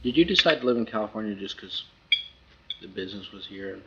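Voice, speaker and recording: Low-voiced man interviewing on dull tape. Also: animated, quick pace, heavy background noise. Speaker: magazine interviewer. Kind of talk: casual conversational questioning. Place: at a table in a house.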